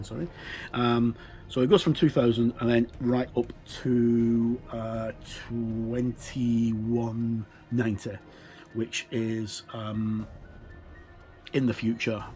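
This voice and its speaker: A middle-aged man giving a casual, halting, explanatory commentary as he looks through a tabletop game book. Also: mid-range voice, dark tone.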